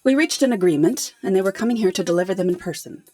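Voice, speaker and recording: Woman playing a poised, lively-pitched woman professor. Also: soft background music, natural voice. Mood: concerned. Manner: measured, polite, explanatory. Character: poised, articulate female professor hiring a detective. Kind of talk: radio drama dialogue.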